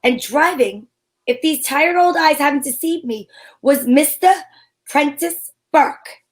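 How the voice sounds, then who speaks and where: A woman's voice: high voice, expressive, slow. A woman playing a feisty, wry heroine in an old-time radio show, with sassy, theatrical delivery. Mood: wry narration. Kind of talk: hobby old-time radio acting with first-person narration.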